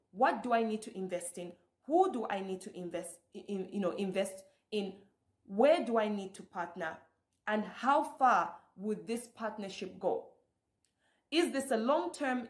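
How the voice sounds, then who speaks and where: A woman's voice: mid-range voice, animated, a little slow. Coaching woman in an echoey room. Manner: coaching, rhetorical questions to the listener. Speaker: summit host. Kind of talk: motivational address.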